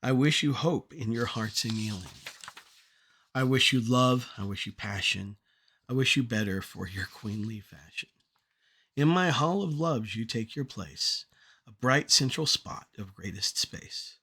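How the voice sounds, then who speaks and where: Man, medium pitch, poetry recitation, earnest, deliberate phrasing, measured pauses, poet reading own work. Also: pushed and bright, husky. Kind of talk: poetry recitation at a draft table read.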